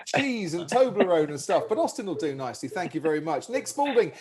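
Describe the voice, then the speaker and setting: Casting: male host, fairly high voice, breezy jokey patter, video call mic. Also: sing-song, fairly quick, a little mellow. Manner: jokey, upbeat broadcaster patter. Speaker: podcast host. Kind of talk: lively hosting chat with remote guests.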